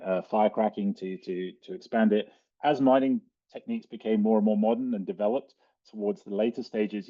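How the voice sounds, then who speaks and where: A man with an Australian accent giving an informal slide presentation in a halting, hesitant way, with frequent ums. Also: on the low side, warm tone. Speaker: guest speaker, cave and mine diver. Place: online video call. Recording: dull, lo-fi online call audio.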